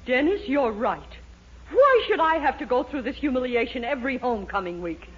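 A woman playing an indignant, imperious middle-aged mother with a husky voice, in 1940s radio comedy style. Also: sits high, sing-song, fairly quick, full character voice. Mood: indignant, determined. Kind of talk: 1940s radio sitcom acting. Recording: muffled 1940s radio, studio audience.

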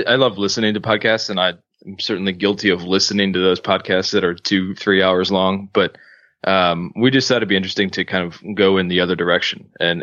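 Male guest, easygoing and hedging, very deep voice, dry lo-fi sound. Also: pushed and bright, dry recording. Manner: easygoing, with many ums and hedges. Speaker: podcast guest, co-host of his own show. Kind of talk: casual interview chat.

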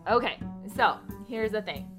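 A woman's voice: medium pitch, lively intonation, measured pace, over music. A woman co-hosting a podcast in casual chat. She talks loosely, laughs often and swears casually. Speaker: podcast co-host.

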